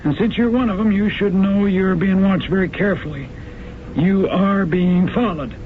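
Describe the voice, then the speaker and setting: An actor playing a courteous, halting government agent warning a woman she is being watched. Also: high voice, slight background noise, close to an everyday voice. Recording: tinny old radio broadcast.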